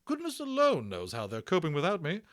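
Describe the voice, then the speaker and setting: High, gushing middle-aged matron. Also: male voice, huge pitch swings. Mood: brisk, fond. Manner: gushing, exclamatory, brisk. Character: hearty, bustling middle-aged British hospital matron, chatty and self-assured.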